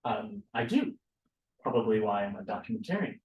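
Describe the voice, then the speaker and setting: Man acting affable middle-aged documentarian, animated, echoey. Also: fairly low pitch, moderate pace, dull audio. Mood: agreeable. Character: middle-aged male documentarian, affable, self-deprecating proud dad. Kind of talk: table read of a play script. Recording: echoey room.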